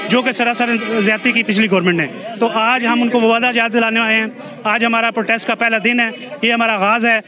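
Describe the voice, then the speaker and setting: A man speaking at an outdoor street protest, pausing and restarting his words. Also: very high voice, steady tone, over music. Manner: halting, with restarts. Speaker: teachers' association president. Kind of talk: protest interview.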